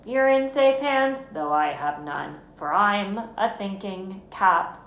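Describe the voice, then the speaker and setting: A woman voicing an old, patched, singing wizard's hat that chants its verses in rhyme, loud and lively. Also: medium pitch, slow, gravelly, exaggerated voice. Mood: sing-song. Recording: roomy with faint noise.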